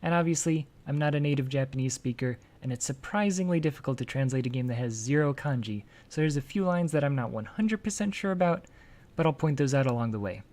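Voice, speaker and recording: Energetic man hosting a game translation. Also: medium pitch, fast. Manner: lively, jokey, breaks off to comment with sudden excitement. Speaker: host and translator of a video game. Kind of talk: scripted commentary reading translated game text.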